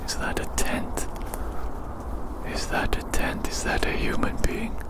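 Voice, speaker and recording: Slow, breathy ASMR whisperer. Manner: slow, repeats phrases softly. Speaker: ASMR YouTuber. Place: outdoors in winter woods.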